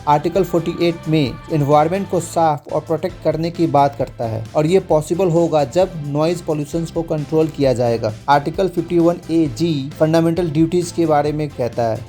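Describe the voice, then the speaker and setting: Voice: medium pitch, darkish tone. An Indian speaker giving a formal, instructive scripted narration as a legal explainer, mixing in English legal terms. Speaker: legal explainer, channel host. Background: music.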